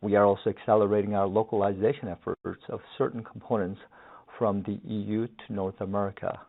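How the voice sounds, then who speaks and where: A man's voice: fairly low pitch, little pitch movement, a little fast, creaky. A man working as a finance executive, reading a scripted financial presentation on an earnings call over a narrow phone line.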